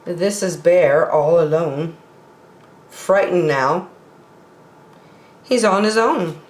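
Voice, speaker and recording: A woman reading picture books aloud expressively for children, with measured dramatic pauses, in a roomy space. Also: fairly low pitch. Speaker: children's story time reader.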